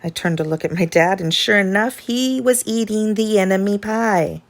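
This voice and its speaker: A woman narrates a children's storybook with animated, dramatic emphasis. Her voice has a noticeable creak, and she stretches out important words as a teacher would for young listeners. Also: mid-range voice, moderate pace. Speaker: teacher reading a picture book aloud.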